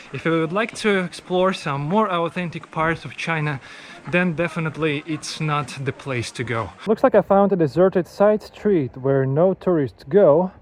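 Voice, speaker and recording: A man's voice: fairly high pitch, slight background noise. A man giving unscripted travel commentary as a vlogger, explaining what is around him and often rephrasing himself. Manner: explanatory, repeating and rephrasing phrases. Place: mostly outdoors at a tourist site.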